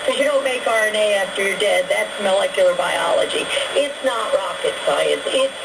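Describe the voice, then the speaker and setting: A woman's voice: mid-range voice, animated, normal speed, vocal fry. A middle-aged woman speaking as an interview guest over static-laden shortwave radio, emphatic and insistent in a rambling monologue.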